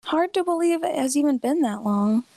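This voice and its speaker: An actress playing a dry, skeptical radio co-host in a radio play, delivering wry, deadpan remarks. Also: female voice, sits high, normal speed, gravelly, no room sound.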